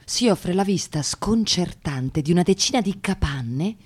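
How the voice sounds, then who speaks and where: A woman's voice: fairly low pitch, expressive, unhurried, darkish tone, husky. An actress playing an observant woman narrator, describing scenes in an Italian radio drama. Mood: descriptive.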